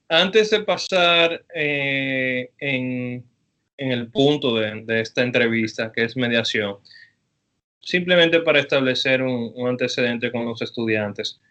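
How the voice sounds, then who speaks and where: A male professor leads a class interview over video. His voice is bright and forceful, and he stays friendly and measured, with frequent pauses and fillers as he moves between questions. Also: mid-range voice.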